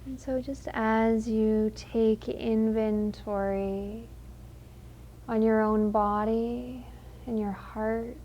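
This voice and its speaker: A woman leading a guided meditation in a gentle, unhurried, soothing manner with long pauses. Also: on the high side, fairly even intonation, muffled recording.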